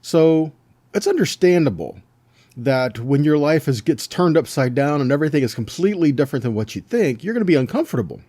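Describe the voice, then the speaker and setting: Guy preaching like a bible class, relaxed mellow voice but pitch moves around a lot, folksy, keeps checking in with listeners. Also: brisk, no echo. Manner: folksy rhetorical questions and check-in tags like "right?" and "okay?". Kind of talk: teaching sermon, conversational.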